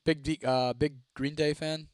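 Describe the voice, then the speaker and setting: A young man from Ohio, chatting casually on a live stream, laid-back and swearing freely, his voice a little hoarse. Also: fairly high pitch, level tone, unhurried, no room sound, studio quality. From Toledo, Ohio, United States. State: voice getting hoarse. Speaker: livestreamer. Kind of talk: casual live-stream chat reading comments.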